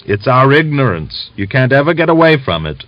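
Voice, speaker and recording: A man's voice: mid-range voice, sing-song, moderate pace, no room sound, pitched above the performer's own voice, close to an everyday voice. Casting: man doing a tired frank doctor, raised and earnest, dull dry sound. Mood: blunt. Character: weary country doctor, blunt. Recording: dull lo-fi audiobook recording.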